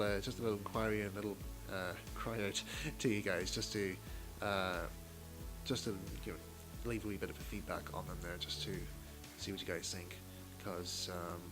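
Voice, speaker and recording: Male, lowish register; hesitant, stop-start creator giving an informal update over a clear music bed. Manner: halting, hesitant delivery with repeated phrases. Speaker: YouTube creator and host. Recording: music playing behind the voice.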